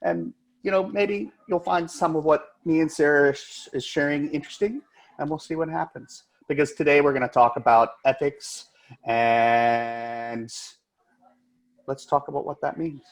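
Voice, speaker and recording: An American man, an agile coach, co-presenting in a relaxed, chatty way on a video call, with many "uh" fillers. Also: on the high side, unhurried. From United States.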